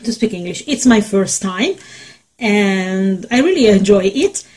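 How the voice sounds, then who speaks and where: A young woman with an Italian accent, Italian her first language, hosting a face painting tutorial from a small bedroom, speaking haltingly with many fillers. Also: medium pitch, lively intonation, a little mellow. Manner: slow, hesitant, many fillers. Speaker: makeup tutorial host.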